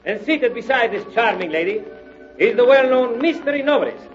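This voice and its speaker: An actor playing a grandiose, courtly stage magician in an old-time radio drama, pompous and theatrical in formal phrases. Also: male voice, very high voice, lively intonation, a little fast. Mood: grand. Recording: dull old radio recording, music behind.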